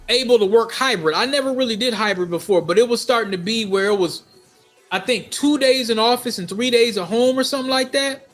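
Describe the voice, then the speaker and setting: A middle-aged man with a notably high voice tells a personal story. He talks casually and expressively, circling back on phrases to stress them. Faint music plays behind him. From United States, Minnesota. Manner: animated retelling with acted-out quotes, repeating phrases for emphasis. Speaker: storyteller vlogger.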